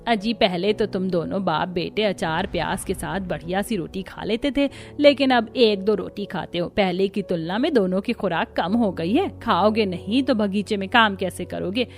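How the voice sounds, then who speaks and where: A woman's voice: medium pitch, moderate pace, few pauses, faint music behind, close to an everyday voice. A woman playing an exasperated older village wife and mother-in-law in a cartoon story, scolding and complaining. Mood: nagging. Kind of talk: Hindi cartoon story dialogue.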